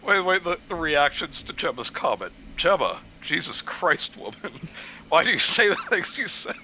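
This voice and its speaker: A man with a very bright, sharp voice throws out playful, crude banter as a co-host. His pitch swings a lot, and faint noise sits behind him. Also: sing-song, normal speed, rough recording. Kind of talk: casual comedic banter and reading aloud.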